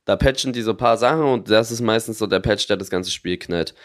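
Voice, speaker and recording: A man's voice: lowish voice, a little fast. Enthusiastic gamer man on a podcast. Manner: enthusiastic gamer talk, fillers and restarts. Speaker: podcast co-host. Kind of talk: casual podcast chat.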